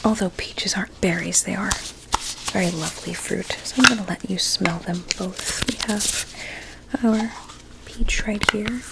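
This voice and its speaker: A woman playing a sweetly coaxing, sinister doctor in an ASMR roleplay, with a deliberate, soothing and formal manner. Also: mid-range voice, steady tone, vocal fry, poor audio. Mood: soft, coaxing. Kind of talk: whispered ASMR medical roleplay.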